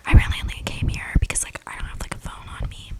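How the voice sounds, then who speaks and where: A woman's voice: fast, lightly acted. A woman playing an eccentric, chatty young vampire in a whispered, slangy ASMR roleplay, breathing close to the microphone. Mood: rambling, confiding. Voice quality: breathy whisper with creaky edges. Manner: rambling, casual slangy delivery with many likes. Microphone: close microphone with audible breathing.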